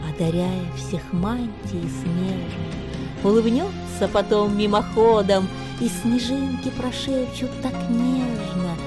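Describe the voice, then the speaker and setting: A woman performing as a gentle storyteller in a poetic New Year radio play, reciting in an expressive, lyrical way. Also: mid-range voice, lightly acted. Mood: tender, festive. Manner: slow, expressive, lyrical delivery. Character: gentle female storyteller of a snowy winter fairy tale. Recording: radio play with music laid under. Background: lullaby-like music.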